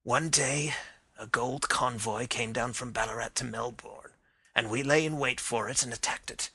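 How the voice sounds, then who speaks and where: A man's voice: mid-range voice, moderate pace, gravelly, pushed and bright, slightly rough, dry recording, exaggerated voice. A man voicing a dying older man who pleads and confesses with emotion. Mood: confessing. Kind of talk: dramatized reading of a detective story.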